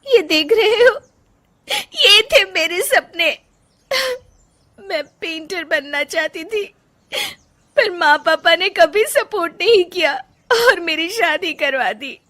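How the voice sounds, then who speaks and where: A woman voicing an unhappy young bride in a cartoon story, resentful and quick to snap, sometimes tearful. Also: very high-pitched, lively intonation, bright, forceful tone, pitched above the performer's own voice, full character voice. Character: young unhappy bride, frustrated, sharp-tongued.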